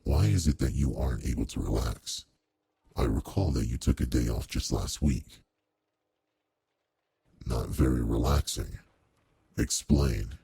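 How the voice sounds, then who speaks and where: Man voicing a very deep, gravelly demon lord. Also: animated, no room sound, full character voice. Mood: thoughtful, caring. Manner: measured, formal, authoritative with soft concern. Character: commanding male demon lord, old-fashioned, courteous, quietly caring boss. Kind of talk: roleplay audio drama, intimate one-to-one address.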